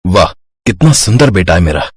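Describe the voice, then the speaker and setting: A man with a husky voice voicing polite everyday men in a cartoon moral story. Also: deep voice, big pitch swings, normal speed, a little hiss or hum. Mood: proud, playful. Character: polite everyday men: father, lawyer, customer.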